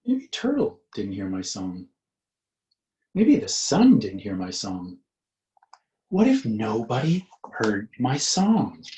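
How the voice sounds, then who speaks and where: A man's voice: lowish voice, huge pitch swings, slow pace, long pauses, a little mellow, roomy sound, heavily acted. A man voicing an earnest cat who sings and frets in a picture-book reading. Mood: sad, worried. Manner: sighing, singsong meows. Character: earnest singing cat, sad then delighted.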